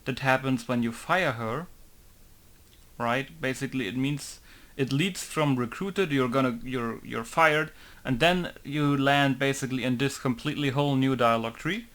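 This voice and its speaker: A man's voice: medium pitch, moderate pace, a bit bright. A young man casually talking through a game modding tutorial, rambling with many fillers and self-corrections. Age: young adult. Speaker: game modder.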